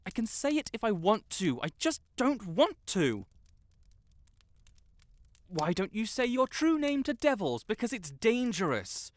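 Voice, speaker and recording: Man acting a slow-paced, flustered, proud young wizard. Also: sits high, sing-song. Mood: agitated, defensive. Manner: halting, exasperated, defensive, emotionally earnest. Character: proud, stuck-up young male wizard, flustered tsundere best friend in love. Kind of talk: intimate fantasy audio roleplay acting.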